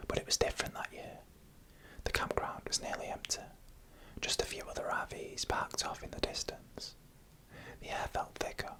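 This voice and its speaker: A narrator telling stories in a soft, unhurried ASMR whisper, close to the microphone. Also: low-quality recording. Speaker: narrator of scary UFO encounter stories. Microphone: close microphone for headphone listening.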